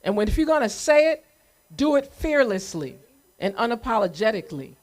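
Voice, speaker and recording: A woman hosting a community remembrance event, speaking publicly in a deliberate, emphatic manner. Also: fairly high pitch, big pitch swings, a little mellow.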